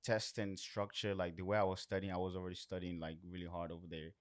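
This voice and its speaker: Low-voiced man in casual chat. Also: level tone, quick pace. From Suriname or abroad, later moved away. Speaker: podcast guest.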